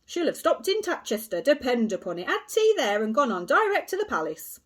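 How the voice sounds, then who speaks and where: Woman playing a pompous storybook police inspector, loud and patronizing, lively pitch. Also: brisk, full character voice. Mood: lecturing. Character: blustering self-assured male police inspector.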